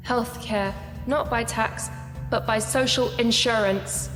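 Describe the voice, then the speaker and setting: An actress as an earnest woman poet performing political verse in a radio play. Her voice is fairly high, deliberate and rhetorical. It rings out with long hall reverb. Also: close to an everyday voice. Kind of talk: rhymed spoken-word poetry recital. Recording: echoey hall reverb with music.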